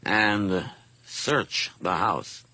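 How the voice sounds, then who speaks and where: An actor playing a calm, measured police officer asking probing questions in a radio drama. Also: male voice, on the low side, no echo, exaggerated voice.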